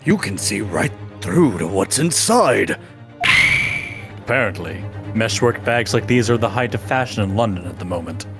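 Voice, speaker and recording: A man's voice: on the low side, expressive, moderate pace. A man voicing a stern, formal courtroom authority in a fan dub of a video game. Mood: matter-of-fact. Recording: loud music behind.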